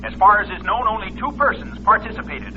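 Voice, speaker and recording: An actor playing an urgent, formal radio newscaster reading a bulletin in a 1940s radio drama. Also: male voice, high voice, brisk, pushed and bright. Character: urgent, formal male radio news announcer. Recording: dull, faintly noisy vintage radio recording.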